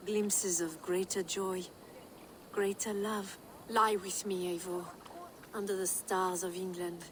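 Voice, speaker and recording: Clear ambient noise sits behind an actress playing a French-accented noblewoman from a historical game. She is wistful and seductive, speaking in poetic, yearning phrases at a medium pitch. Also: little pitch movement, slow, close to an everyday voice. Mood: yearning, tender. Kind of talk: dramatic video game acting.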